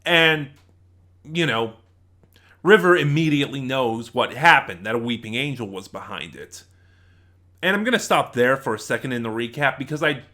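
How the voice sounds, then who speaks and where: Male reviewer, mid-pitched with a bright, forward edge; casual, halting delivery that wanders and doubles back. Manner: rambling, halting, stops to backtrack and rephrase. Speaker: reviewer, channel host. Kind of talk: casual spoken audio drama review.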